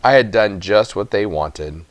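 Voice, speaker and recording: A man giving an expressive, dramatic children's audiobook narration, with emphatic pauses. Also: fairly low pitch, no echo. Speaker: story reader.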